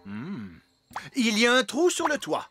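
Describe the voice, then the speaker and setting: A man's voice: high voice, huge pitch swings, unhurried, bright, forceful tone, breathy edge, no room sound, soft background music, full character voice. A man voicing a jovial, regal fairy king in a cartoon.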